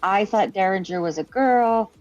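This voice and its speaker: A woman's voice: medium pitch, normal speed, dry recording. A woman co-hosting a podcast, chatting playfully, reading out chat questions and laughing at herself. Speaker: podcast co-host. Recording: muffled lo-fi stream audio with faint music.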